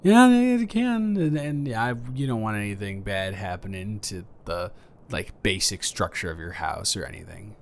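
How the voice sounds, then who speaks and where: A man's voice: on the low side, animated, normal speed, slight background noise. A man playing an awkward, friendly neighbor in an improvised roleplay audio, stammering and rambling hesitantly. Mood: sympathetic, nervous.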